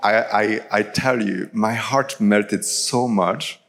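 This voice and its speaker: A man's voice: lowish voice, fairly even intonation, slow, gravelly, fairly bright tone. A man in his thirties, originally from France, with a French accent, preaching a chatty live sermon full of fillers. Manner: casual, chatty storytelling with many fillers. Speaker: pastor. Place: large church hall. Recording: live church recording with long hall reverb.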